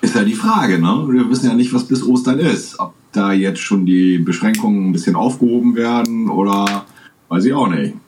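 A man co-hosting a podcast, chatting casually in a relaxed way. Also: on the low side, moderate pace. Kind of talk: casual chat.

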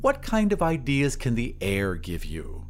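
A man's voice: medium pitch, wildly swooping pitch, unhurried, faint music behind. A man voicing a prim, irritable and dismissive mother from a futuristic society in an audio drama. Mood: aloof curiosity. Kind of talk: audio drama character dialogue.

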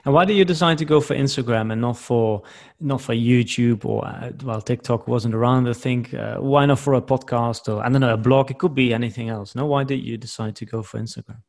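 A man's voice: medium pitch, fairly quick, creaky, few pauses. A man hosting an interview, asking questions and giving frequent short agreements. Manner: attentive, frequent backchannel agreement. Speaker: interviewer, podcast host. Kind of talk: interview, casual conversation.

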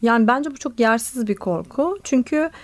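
A woman's voice: on the high side, lively intonation, normal speed. Thoughtful woman therapist explaining on radio. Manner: thoughtful and explanatory, with many fillers. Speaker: play therapist, guest. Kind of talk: radio interview.

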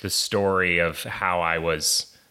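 Low bright-voiced man telling a story. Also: steady tone, slow, clear, resonant tone. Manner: hesitant storytelling, many fillers and restarts. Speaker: guest, comedy writer. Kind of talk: casual interview storytelling.

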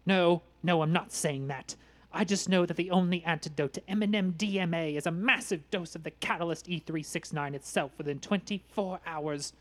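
A man's voice: a little high, moderate pace, a bit bright, close to an everyday voice. A man voicing a nervous, stammering, overmedicated teenage boy plotting villainy. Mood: frantic. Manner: jittery, stammering, melodramatic.

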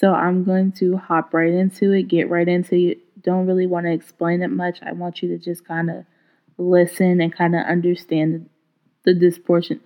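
A woman's voice: lowish voice, completely flat delivery, moderate pace, highs cut off. A woman hosting a spoken-word show, introducing it in a chatty, informal way. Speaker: host.

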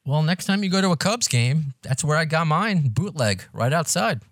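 A middle-aged podcast host with a New York accent talks in a casual, self-deprecating way. His pitch swings widely as he chats. The sound is dry and close, with no room around it. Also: moderate pace, dry recording. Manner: chatty and self-deprecating, animated intonation. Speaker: podcast host and radio presenter. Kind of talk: casual interview chat.

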